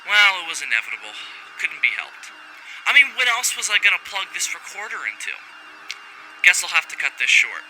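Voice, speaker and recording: A brash, rebellious male scientist , acted by a man, recording a rambling log for a sci-fi audio drama. His voice is very bright and forceful, swinging widely in pitch as he wryly interrupts himself. Faint machinery and alarm noise sits behind him. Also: big pitch swings, normal speed, exaggerated voice, low-quality recording. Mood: flustered, wry. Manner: rambling, wry, theatrical, self-interrupting. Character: brash, foul-mouthed rogue male scientist, giddy and rebellious.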